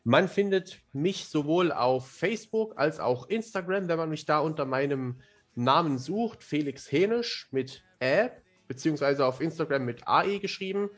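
A man talks casually as a podcast guest. His voice is on the high side for a man, and his manner is matter-of-fact and self-deprecating. Music can be heard behind him. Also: expressive, normal speed. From Germany. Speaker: podcast guest, fantasy author. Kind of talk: casual interview chat.

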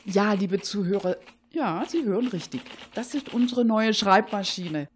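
A woman hosting a satirical cabaret radio show, speaking with playful irony and a husky voice. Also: mid-range voice, unhurried, a little hiss or hum. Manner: playfully ironic, animated.

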